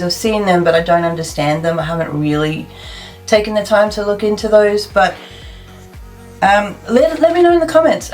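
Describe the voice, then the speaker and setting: A woman in her thirties from South Africa, chatting and thinking aloud as she does her makeup in a get-ready-with-me video. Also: mid-range voice. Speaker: beauty vlogger. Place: plain indoor room.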